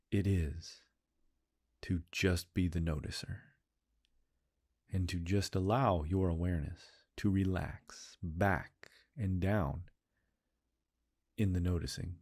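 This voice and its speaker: Man, meditation guide, very low voice, gravelly, slow soothing delivery. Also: a little mellow. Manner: slow, soothing, drawn-out phrases with repeated cues.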